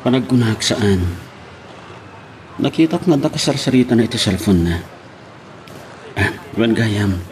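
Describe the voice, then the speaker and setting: A man's voice: lowish voice, lively intonation, moderate pace, long pauses, close to an everyday voice. A man acting a young male companion in a radio romance drama, with an Ilocano accent. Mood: reassuring.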